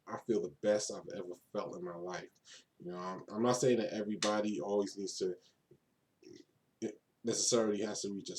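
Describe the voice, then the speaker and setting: A man in his thirties from Brooklyn, New York talks off the cuff in a video diary, his voice a little lower than most men's. He rambles in a laid-back way, restarts his phrases, and circles back to repeat his points. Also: a little mellow. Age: 30s. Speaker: vlogger. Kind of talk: casual unscripted monologue to camera.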